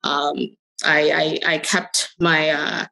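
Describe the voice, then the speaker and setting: A middle-aged woman answering interview questions conversationally, telling her story in short phrases with hesitant pauses. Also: deep voice, flat delivery, gravelly, sharp tone, slight background noise. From the Bahamas. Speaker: interview guest, crypto company executive.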